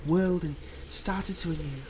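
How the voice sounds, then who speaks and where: A man playing a manipulative, soothing male villain in an ASMR roleplay, speaking in a soft, coaxing and dramatic way with deliberate pauses. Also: fairly high pitch, slight background noise, close to an everyday voice. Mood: gentle, reassuring. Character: manipulative, soothing male supervillain, tenderly possessive of his captive.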